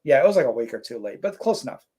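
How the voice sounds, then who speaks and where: A man's voice: medium pitch, very fast, husky. A man co-hosting a casual live stream, reading out chat comments and rambling with lots of fillers. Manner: relaxed, rambling, many fillers.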